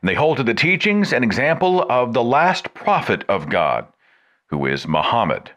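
A man hosting a talk podcast, reading a scripted introduction and then interviewing a caller with emphatic, expressive delivery. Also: medium pitch, moderate pace. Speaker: podcast host.

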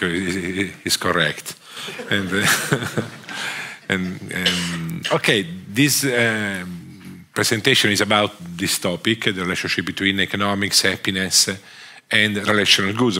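A middle-aged man from Italy with a strong Italian accent, giving an academic lecture on a theatre stage, halting often with fillers and restarts. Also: low-pitched, steady tone, pushed and bright. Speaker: guest lecturer. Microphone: headset.

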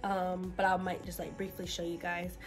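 A woman's voice: lowish voice, monotone, moderate pace, soft background music. A young woman in her twenties chatting casually to her phone camera for a vlog, sounding slightly nasal. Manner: chatty, addresses viewers as "you guys". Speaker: vlogger. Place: car interior, then bedroom. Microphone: phone camera at arm's length.

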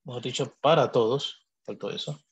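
A man teaching a logic lesson over a screen recording, speaking in a measured, deliberate way and pausing to correct himself as he explains. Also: medium pitch, husky, highs cut off. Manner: measured, deliberate, thinks aloud with pauses and self-corrections. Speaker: math teacher. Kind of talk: explaining logic notation on a digital whiteboard.

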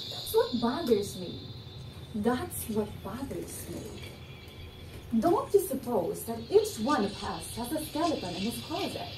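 A young woman playing a cool, confident woman with a criminal secret on stage, speaking deliberately and emphatically. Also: high voice. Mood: sly confiding. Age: young adult. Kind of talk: theatrical stage acting. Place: indoor living-room stage set. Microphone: far camera. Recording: echoey room, distant mic, background noise.

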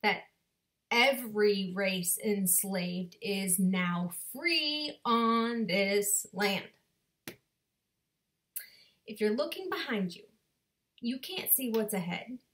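A woman in her thirties performing her own spoken word poem in a deliberate, rhythmic way, recorded on a webcam in her bedroom. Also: medium pitch, a bit bright. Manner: slow, deliberate rhythmic delivery with dramatic pauses. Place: bedroom at home. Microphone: webcam at desk distance.

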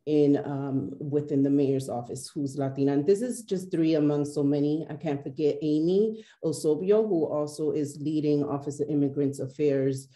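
Low, mellow-voiced woman, earnest and halting, webcam in an echoey office. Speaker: city official and panelist. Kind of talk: panel discussion on a video call. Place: small office room. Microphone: video call webcam.

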